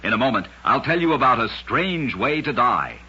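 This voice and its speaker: A man with a raspy voice narrating scripted oddities for an old-time radio show in a dramatic, emphatic storyteller's delivery. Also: mid-range voice, big pitch swings, moderate pace, sharp tone. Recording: dull, lo-fi vintage radio recording.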